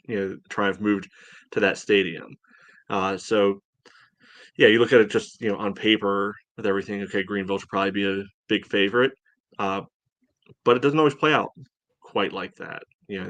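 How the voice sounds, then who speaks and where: A man co-hosting a sports podcast, giving casual soccer analysis in a measured, think-aloud way. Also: lowish voice, a bit bright, no room sound.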